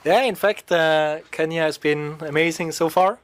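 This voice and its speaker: Swiss guy on a radio interview, slightly high voice, lots of ums, faint hiss. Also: normal speed. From Switzerland. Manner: hesitant, many filler sounds. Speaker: guest. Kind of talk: interview answers.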